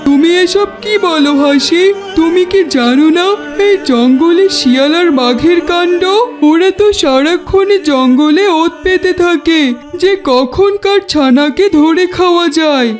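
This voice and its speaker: Father duck for kids' cartoon, acted: very high, anxious, protective, earnest, pleading; light music underneath. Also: a little slow. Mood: alarmed. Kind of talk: children's cartoon voice acting.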